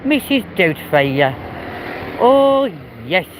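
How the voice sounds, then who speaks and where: A doting old granny nanny with a high, quavering falsetto, cooing sweetly at whoever she's talking to, as a man puts her on for a laugh. Her voice sits well above a normal man's register and lifts even higher on the loud bits. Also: very slow, noisy background, heavily acted.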